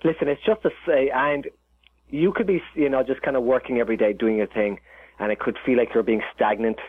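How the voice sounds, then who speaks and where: A man's voice: mid-range voice, fairly even intonation, fairly quick, no echo. A man with an Irish accent, a chef talking as a guest in a casual interview, rambling with many restarts and fillers. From Ireland. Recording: tinny phone line.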